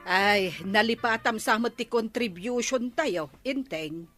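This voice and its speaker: An actress playing a nagging middle-aged wife with an Ilocano accent in a radio drama. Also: female voice, fairly high pitch, a little slow, a bit bright, soft background music.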